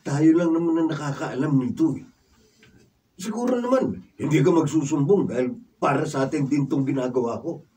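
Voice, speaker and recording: A man's voice: a little high, expressive, normal speed, dark tone, recorded from a distance, natural voice. A man playing a freeloading, stubborn, wheedling older brother in a radio drama. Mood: smug. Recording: off-mic room recording, faint noise.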